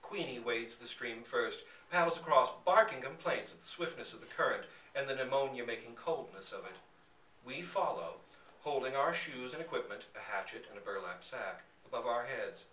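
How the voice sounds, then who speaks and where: A man giving an expressive, theatrical reading of a literary story aloud. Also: medium pitch, moderate pace. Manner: theatrical, dramatic emphasis. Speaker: reader at a live literary reading. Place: indoor public reading in a bookstore. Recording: roomy, distant mic, faint background noise.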